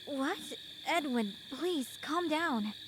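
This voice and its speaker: A woman's voice: sits high, lively intonation, extremely slow, noisy background, full character voice. Woman acting a sensible young companion, night sounds behind. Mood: alarmed, soothing. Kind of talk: dramatic visual novel voice acting.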